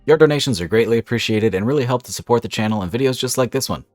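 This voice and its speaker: A man's voice: mid-range voice, animated, very fast, darkish tone, dry recording, faint music behind. A young man with a cold and a slightly stuffy voice, hosting a gaming channel and walking through a game mod step by step in an upbeat, casual voice-over.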